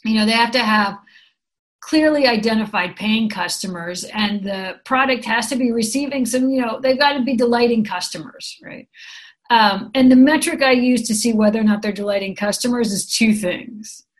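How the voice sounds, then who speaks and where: A woman's voice: a little high, normal speed. A middle-aged American woman from San Francisco, a program director, answering interview questions in a chatty, upbeat way. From San Francisco, USA. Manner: chatty, upbeat, explains with examples.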